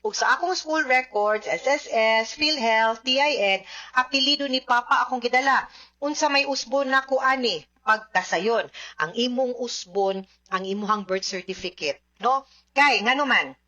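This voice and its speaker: Female advice host, Cebuano Filipino accent, sharp bright delivery, explanatory with English mixed in, dull lo-fi recording. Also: fairly high pitch, normal speed. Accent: Filipino, Cebuano Bisaya. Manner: explanatory, code-switches into English, frequent checking tags. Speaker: legal advice host answering listener questions. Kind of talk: spoken advice, reading and answering questions.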